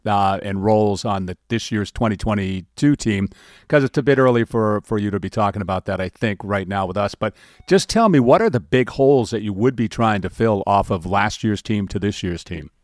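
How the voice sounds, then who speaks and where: A man's voice: on the low side, no room sound, clean studio sound. A man hosting a sports news interview, asking questions in loose, hesitant phrasing.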